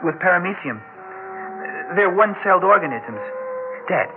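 A man's voice: high voice, animated, background noise. A man playing a bashful, earnest teenage schoolboy with a hesitant manner in a 1960s radio drama. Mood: eager, earnest. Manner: hesitant, halting. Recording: tinny 1960s radio recording, music behind.

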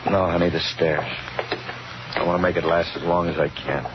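Guy doing a hunted sorry man in an old 50s radio thriller, american, real low voice, muffled sound. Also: fairly even intonation, normal speed, heavy background noise, close to an everyday voice. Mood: somber resolve. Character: doomed, remorseful hunted man facing a vengeful mob. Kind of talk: 1950s radio thriller acting. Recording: dull 1950s radio broadcast with music.

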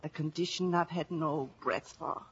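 An actress playing a solemn, foreboding old Irish village prophetess in a radio drama. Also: female voice, deep voice, normal speed, slightly rough. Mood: ominous. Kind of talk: 1950s radio drama acting. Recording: muffled vintage radio, faint music.

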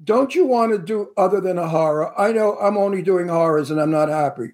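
A man's voice: sits high, fairly quick, a little mellow. A man with a Brooklyn accent co-hosting a chat show, blunt and tough-talking as he gives his opinions. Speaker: co-host, actor.

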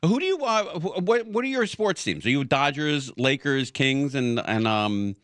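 A man's voice: mid-range voice, expressive, normal speed, fairly bright tone, dry recording, studio quality. A man hosting a casual talk show who tells rambling stories full of fillers and restarts. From Southern California. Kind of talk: casual conversation.

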